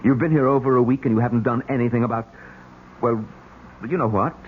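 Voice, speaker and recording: A man's voice: mid-range voice, fast, close to an everyday voice. An actor playing a scheming, irritable married man with a curt, evasive manner in an old-time radio drama. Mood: wary, probing. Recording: muffled, noisy old radio recording.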